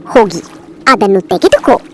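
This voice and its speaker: A woman's voice: medium pitch, animated, moderate pace, a bit bright, soft background music, lightly acted. A woman voicing a grateful, kindly talking golden fish in a children's cartoon story, earnest and solemn. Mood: kind, reassuring.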